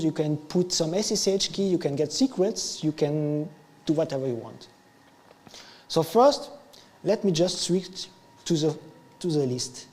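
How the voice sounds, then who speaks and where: A man's voice: fairly high pitch, darkish tone. A man whose first language is French gives an improvised, casual technical talk at a meetup, self-deprecating and often restarting his sentences. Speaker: meetup speaker. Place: meetup venue, large hall. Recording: live stream with long hall reverb.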